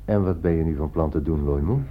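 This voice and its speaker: An actor playing an intrigued, wry writer in a radio play, curious and dryly amused. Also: male voice, very deep voice, fast. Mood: impressed. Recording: dull, muffled old radio play recording.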